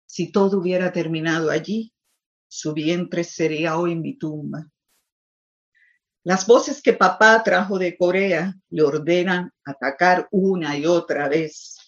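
A woman's voice: on the low side, normal speed, highs cut off. A woman from Puerto Rico with a Puerto Rican accent, a native Spanish speaker, speaking as a poet telling personal stories and reading to an audience. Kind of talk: personal storytelling and poetry reading before an audience.

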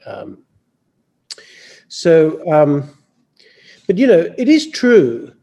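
A man who is a novelist speaking as a panel guest, halting and reflective, with stammered repeats. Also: fairly high pitch, very animated, warm tone, dull audio. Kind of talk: panel discussion.

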